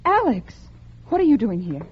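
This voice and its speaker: A woman playing a scheming, calculating unfaithful wife, acting in a melodramatic 1950s radio suspense style with dramatic pauses. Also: fairly high pitch, big pitch swings, noisy background. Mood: anxious, coaxing. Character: scheming, calculating unfaithful wife plotting her husband's murder. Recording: dull vintage radio recording with music.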